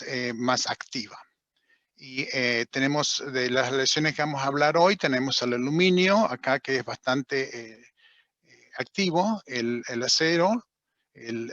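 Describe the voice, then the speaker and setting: A man's voice: mid-range voice, bright, forceful tone, dry recording, highs cut off. A man giving a technical seminar lecture over slides, speaking Spanish with an accent that is not Argentine and hesitating often with fillers and restarts. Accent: non-Argentine accent in Spanish. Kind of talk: technical webinar talk with slides.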